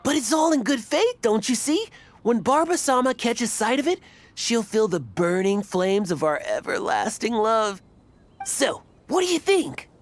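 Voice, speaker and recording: A woman's voice: on the high side, big pitch swings, unhurried. A woman voicing a nervous, fanatical young male fan club leader in an anime game, stammering and self-important. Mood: gushing. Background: light game music.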